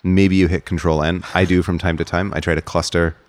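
A man with a very low voice hosts a casual podcast. He repeats words as he works toward his point. The sound is dry, with very little room in it. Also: flat delivery, a little fast, no room sound. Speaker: podcast host.

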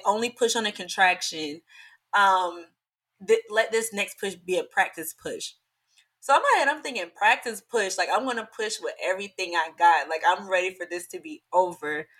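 A young woman with a slightly hoarse voice, telling a personal story in chatty, animated talk full of fillers. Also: medium pitch. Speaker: podcast guest. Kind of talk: casual storytelling.

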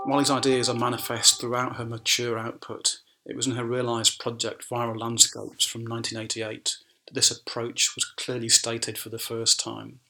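A man's voice: mid-range voice, completely flat delivery, normal speed, few pauses, bright, forceful tone, soft background music, poor audio. A man narrates a scripted academic essay in a formal, scholarly manner. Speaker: academic speaker.

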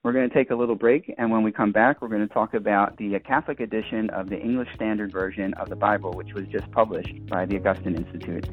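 A man's voice: fairly low pitch, steady tone, fast talker, hardly any pauses, phone-quality sound, soft background music. A man hosting a radio interview, asking questions and presenting the show.

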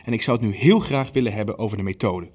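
An actor playing an impatient, commanding Nazi official who runs a meeting in a radio play. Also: male voice, lowish voice, quick pace, darkish tone, a little hiss or hum, lightly acted. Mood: stern, controlled.

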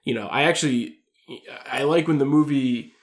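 A man with a medium-pitched voice co-hosts a podcast. He talks casually about film, informal and conversational, circling around an idea as he works toward his point. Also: normal speed. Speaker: podcast co-host. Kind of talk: casual film discussion chat.

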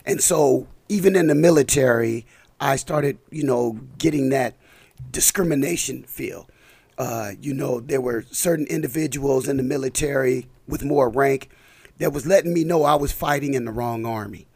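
A man's voice: medium pitch, normal speed, a little mellow, slightly rough, dry recording. Man with a slight cold on a dry mic. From Los Angeles. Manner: rambling with restarts and fillers. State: slight cold, a bit scratchy. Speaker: community council president, guest. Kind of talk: casual interview talk.

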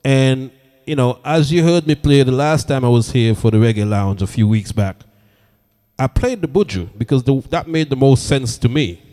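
A man hosting a reggae radio show, chatting casually with listeners, giving shout-outs and asking for their views. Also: mid-range voice, lively intonation, moderate pace. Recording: long reverb with music underneath. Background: reggae music playing.

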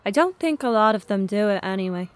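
A woman's voice: medium pitch, animated, fast, close to an everyday voice. A woman playing a young schoolboy in an audio drama, sullen and evasive, with terse, matter-of-fact answers. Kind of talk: naturalistic radio drama acting.

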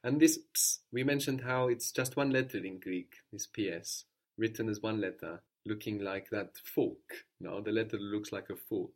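A man's voice: fairly low pitch, moderate pace, few pauses, a little mellow. A man teaching a language lesson, patiently prompting his student and praising each answer.

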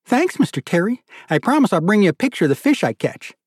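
A man's voice: sits high, very animated, fast talker, breathy edge, no room sound, studio quality, voice pushed up, exaggerated voice. A man voicing an eager, guileless young man with childlike enthusiasm in an audiobook story. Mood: amazed, grateful. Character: eager, innocent, excitable simple-hearted young man.

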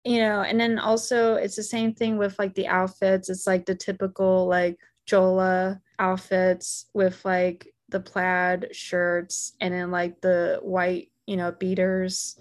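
A woman's voice: on the low side, completely flat delivery, slow, hardly any pauses. A woman from the United States talks loosely through her thoughts, leaning on "like" and "you know". Her sentences stop and restart as she works out what she means. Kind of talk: casual conversation.

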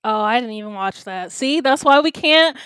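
A young woman co-hosting a podcast, chatting casually and giving upbeat shout-outs. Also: a little high, animated, normal speed, clear, resonant tone, no room sound. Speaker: podcast co-host. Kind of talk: casual chat.

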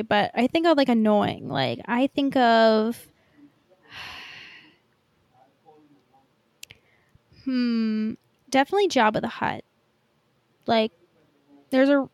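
A woman's voice: a little high, no room sound. A woman chatting casually as a podcast co-host, in informal speech peppered with "like". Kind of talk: casual fan podcast chat.

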